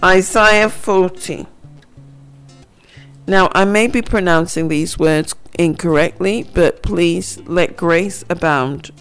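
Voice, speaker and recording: Slow-speaking woman teaching scripture. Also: fairly low pitch, clean tone. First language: not Hebrew. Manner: slow, reflective, reads scripture aloud. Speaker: radio Bible teacher. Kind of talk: Bible teaching on radio. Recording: faint guitar music behind. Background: soft guitar music.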